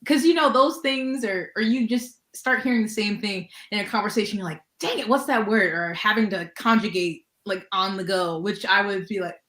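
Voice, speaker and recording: A woman's voice: medium pitch, moderate pace, hardly any pauses, a bit bright. A young adult woman co-hosts a casual chat. She rambles from one thought to the next, fills her talk with "like" and laughs often. Her voice echoes in the room.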